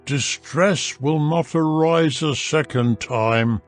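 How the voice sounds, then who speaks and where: A man reads scripture as a dramatized narration, with music behind him. His delivery is extremely slow and solemn, every phrase set out deliberately and declaimed with weight. His voice sits at an ordinary middle male pitch. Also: a little mellow. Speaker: narrator of a dramatized audio Bible.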